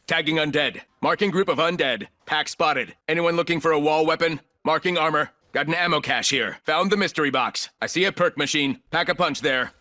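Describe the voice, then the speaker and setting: An actor playing a ruthless, arrogant corporate executive turned combat operator in a video game, speaking in clipped, commanding, coolly condescending lines. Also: male voice, fairly high pitch, pushed and bright. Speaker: playable military shooter operator.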